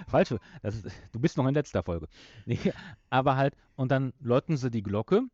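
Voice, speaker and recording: A man talking casually as a podcast host, halting and circling back to rephrase his points. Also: mid-range voice, expressive, a little mellow, no room sound, dull audio. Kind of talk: casual discussion of an audio drama episode.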